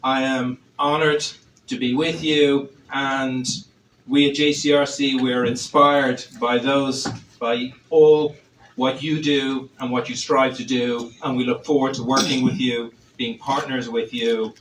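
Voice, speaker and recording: Irish man, organization rep at the mic, halting and measured with lots of fillers, heard from off the mic in a reverberant room. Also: mid-range voice, flat delivery, slight background noise. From Ireland. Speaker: organization representative. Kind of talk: public welcoming speech. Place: event hall. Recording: roomy, distant hall.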